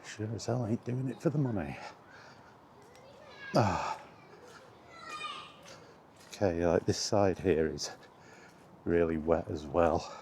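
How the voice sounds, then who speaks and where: Slow, low-voiced man outdoors. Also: vocal fry, a little mellow. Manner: rambling, self-deprecating, mild exasperated swearing. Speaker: vlogger. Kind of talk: casual walking vlog chat. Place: outdoors on a path in windy weather. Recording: outdoor camera audio with background noise. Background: outdoor ambience, wind.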